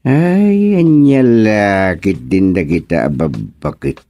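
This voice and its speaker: Comic village grumbler, Ilocano accent, slightly low voice with big pitch swings, exclamatory muttering, radio comedy. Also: male voice, expressive, takes it slowly, mellow, exaggerated voice. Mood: amused, teasing.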